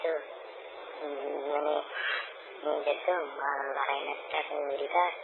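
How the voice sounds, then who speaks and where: A man's voice: a little high, little pitch movement, measured pace, gravelly. A man chatting casually and intimately on a phone call, often repeating his own phrases. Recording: noisy, narrow phone call recording.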